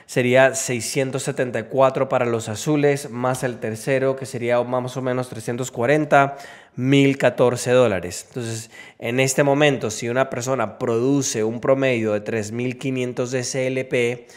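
Male video host, thirties, mid-pitched, conversational explainer on a close mic at home. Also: steady tone, moderate pace. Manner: conversational, checks in with tag questions. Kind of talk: casual explainer to camera. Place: small home room, indoors. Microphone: close desk microphone on an arm.

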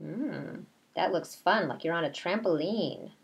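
A middle-aged woman, a kindergarten teacher, reading a picture book aloud in a deliberate, expressive way with asides to young children. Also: fairly low pitch, vocal fry. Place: small bedroom at home, indoors.

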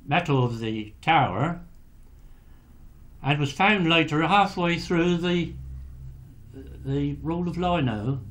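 An elderly man, a former lighthouse keeper, recalling the past in a halting, hesitant way with many pauses. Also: on the high side. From England. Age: older. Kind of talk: recorded wartime recollection.

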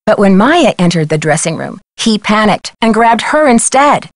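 A woman's voice: mid-range voice, sing-song, normal speed, no echo, clean studio sound, close to an everyday voice. A woman playing a level-headed teenage girl detective in a video game, speaking scripted lines in a composed, conversational way. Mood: reflective. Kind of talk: scripted game dialogue and letter narration.

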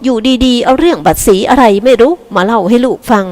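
A woman's voice: on the high side, animated, fast, a little mellow, pitched above the performer's own voice, natural voice. A stern, disapproving grandmother, put on by a woman reading a novel aloud, snaps her disapproval in a slightly raised voice. She scolds with old-fashioned indignation, as a figure in a spoken storybook. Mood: scolding.

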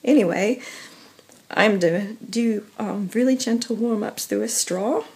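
A young woman speaks slowly into a phone held at arm's length, her weakened voice husky and breathy. She stops and starts, fills gaps with little hesitations, and lets small laughs slip in between. Her pitch sits in an ordinary middle range. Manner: halting, many fillers and pauses, soft laughs. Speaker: professional singer vlogging. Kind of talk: casual personal vlog. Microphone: phone camera at arm's length.

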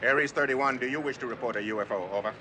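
A focused air traffic controller played by a man in a film drama. He speaks briskly in clipped, measured procedural phrases, at an average pitch, over clear control-room noise. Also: natural voice. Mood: careful, official. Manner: measured, businesslike, with hesitant pauses.

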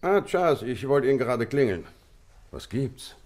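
A man's voice: mid-range voice, very animated, moderate pace, darkish tone, lightly acted. A man playing a hearty, blunt army colonel and country host in a German radio play, jovial and emphatic.

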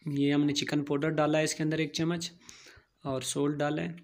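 A man's voice: on the high side, level tone, normal speed, slight background noise. A man narrating a home cooking tutorial, giving plain step-by-step instructions and repeating key phrases. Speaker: home cooking channel host.

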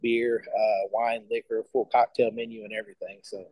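A man's voice: on the low side, level tone, moderate pace, a little mellow, no echo, low-quality recording. A middle-aged man chatting casually as an interview guest on a video call, explaining his restaurant business. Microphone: video call, device at about arm's length.

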